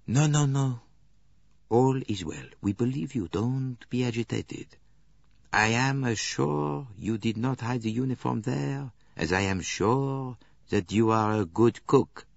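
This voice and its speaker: A shrewd, fussy older Belgian detective speaks with precise, courteous phrasing, as a man puts him on. The voice is husky and swoops up and down. The audiobook sound is dry and a little dull. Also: fairly low pitch, wildly swooping pitch, normal speed, dry recording, exaggerated voice.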